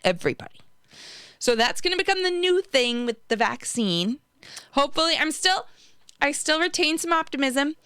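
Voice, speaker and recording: A woman's voice: high-pitched, lively intonation, normal speed, fairly bright tone, dry recording. An American woman co-hosting a podcast, in casual chat about politics. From United States. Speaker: podcast co-host.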